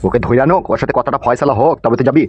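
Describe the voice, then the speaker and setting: A man's voice: medium pitch, very fast, highs cut off, a little hiss or hum, close to an everyday voice. A man voicing an angry middle-aged village politician in a comedy cartoon, domineering and threatening. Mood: bossy. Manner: threatening, foul-mouthed, domineering. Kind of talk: amateur comedy cartoon dialogue. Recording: music behind the voice.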